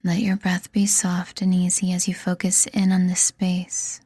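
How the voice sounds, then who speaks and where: A woman reading a scripted guided meditation in a soothing, deliberate manner, leaving pauses between phrases. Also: lowish voice, completely flat delivery, dark tone, husky, no room sound. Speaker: meditation guide and podcast host.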